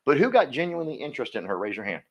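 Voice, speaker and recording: A man coaching a live workshop audience, energetic and folksy, constantly quizzing the room and drawing answers out of people. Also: medium pitch, rapid-fire, muffled recording. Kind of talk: interactive seminar teaching.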